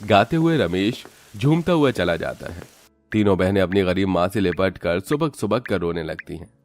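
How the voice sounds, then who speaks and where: Deep-voiced man narrating a dramatic story. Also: expressive, normal speed, dry recording.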